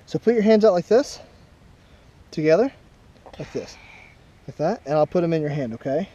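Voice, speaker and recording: A man's voice: on the high side, expressive, normal speed, mellow, highs cut off. A young father, patiently coaching his son while fishing at a pond, recorded on a body-worn camera outdoors. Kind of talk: casual chat while fishing. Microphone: body-worn action camera.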